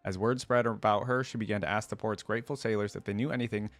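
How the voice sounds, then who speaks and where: A man's voice: on the low side, steady tone, fast talker, no room sound. A man hosting a reaction video, reading a story aloud and adding casual, musing commentary as he thinks aloud.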